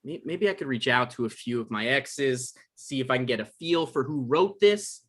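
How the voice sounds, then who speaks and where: A man's voice: medium pitch, expressive, moderate pace, a bit bright. A man acting as a naive novelist on the defensive. His voice sits mid-range and moves up and down a lot as he reads a comedy script. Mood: uneasy, defensive. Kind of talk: live table read of a comedy script.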